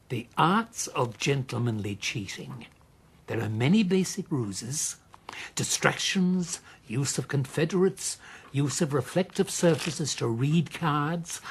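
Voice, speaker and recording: An actor in a radio drama playing a roguish, genial middle-aged gentleman gambler with a suave, avuncular manner. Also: mid-range voice, vocal fry, breathy edge, heavily acted.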